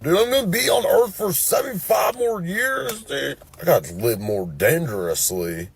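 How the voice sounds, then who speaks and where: Slow, husky young man, very animated pitch. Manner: slangy, bemused. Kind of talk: casual off-the-cuff chat.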